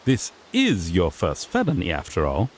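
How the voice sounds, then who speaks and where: A man's voice: low-pitched, wildly swooping pitch, normal speed, slight background noise. A man playing a sassy griffon knight in a fantasy audio drama, an earnest rookie lawman who explains things formally with mild exasperation. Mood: officious, patient.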